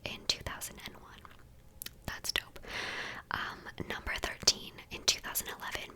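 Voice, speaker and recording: A woman in her twenties from the United States, softly whispering through a list of facts in an ASMR video, tripping over words and adding chatty asides. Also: low-quality recording. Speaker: ASMR creator.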